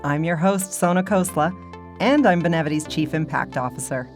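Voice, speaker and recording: A woman hosting a podcast, giving scripted narration in a polished, measured delivery. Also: sits low, music in the background. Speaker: podcast host.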